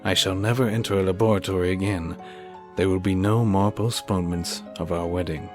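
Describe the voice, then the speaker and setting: A man's voice: sits low, steady tone, brisk, over music, lightly acted. A man playing a fervent, troubled young scientist in a melodramatic, theatrical radio drama style. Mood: elated, tender. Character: anguished, fervent young male scientist, recovering from madness. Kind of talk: melodramatic old-time radio acting.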